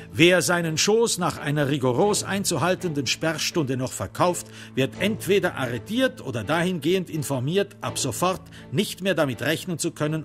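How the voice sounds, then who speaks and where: A man reading dramatic literary narration for a radio crime drama, in measured, deliberate phrasing with emphatic stresses. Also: fairly high pitch.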